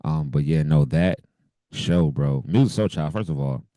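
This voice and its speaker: This is a man with a very low, husky voice, hoarse from a cold, talking on a podcast. He chats loosely with plenty of slang and easy laughter. The sound is dry and close, with no room around it. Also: normal speed, dark tone, no echo. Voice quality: deep voice. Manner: laid-back, laughs often, loose slang. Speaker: podcast co-host. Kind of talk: casual chat.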